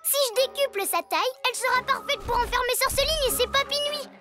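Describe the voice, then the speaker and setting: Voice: very high-pitched, quick pace. A performer voicing a proud young superhero boy, keen and cocky, in a French children's cartoon. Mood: overconfident. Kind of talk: French animated children's series dub. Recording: loud music behind the voice.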